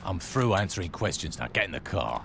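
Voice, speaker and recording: A gravelly, low-voiced scarred gang boss who speaks in blunt, sarcastic bursts. His pitch moves a lot. Steady noise sits under the game audio. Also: brisk, exaggerated voice. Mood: curt, hard. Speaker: gang leader. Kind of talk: video game cutscene acting.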